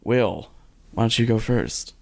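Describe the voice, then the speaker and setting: A man's voice: lowish voice, takes it slowly, gravelly, a little mellow. A man co-hosting a podcast in casual chat, joking and rambling with frequent swearing. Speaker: podcast co-host.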